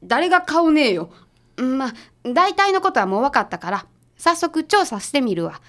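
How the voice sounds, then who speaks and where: A woman acts a prickly detective's secretary with a Kansai accent. The voice is a little high and swings widely. The delivery is curt, brisk and bossy, in radio drama style. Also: very animated, a bit bright. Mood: grudgingly confident.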